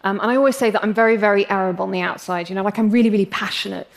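A woman's voice: mid-range voice, steady tone, rapid-fire, vocal fry. A young English woman with an English accent giving a prepared public talk, passionate and wry with self-deprecating humor. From England.

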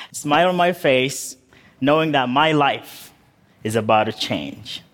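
A man from Uganda telling his life story on stage with humor and vivid detail. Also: mid-range voice. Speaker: speaker. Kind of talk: storytelling talk. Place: stage in a large hall.

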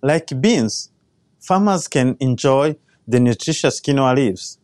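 A man, a crop scientist giving an earnest, measured talk about his own life. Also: medium pitch, animated, a little mellow, dry recording. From Rwanda. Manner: earnest, measured, personal storytelling. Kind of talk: prepared talk.

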